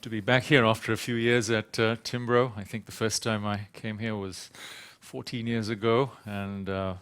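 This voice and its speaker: A man with a British accent giving a conference panel talk, hesitant and halting, often repeating words and pausing on "uh". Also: lowish voice, little pitch movement, a bit bright, clear, resonant tone, no room sound. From Sri Lanka. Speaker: panel speaker. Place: conference venue.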